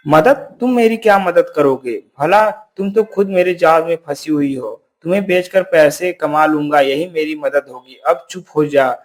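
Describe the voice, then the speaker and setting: Cartoon hunter, male actor: somewhat high-pitched, stubborn, complaining, self-justifying villager. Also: moderate pace, hardly any pauses, close to an everyday voice. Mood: gloating, threatening.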